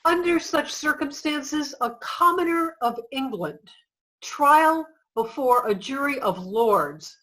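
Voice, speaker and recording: Woman as a defiant, slow-spoken suffragist, bright voice. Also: high-pitched, animated, exaggerated voice, low-quality recording. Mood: protesting. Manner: oratorical, emphatic, deliberate. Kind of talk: reader's theater courtroom speech.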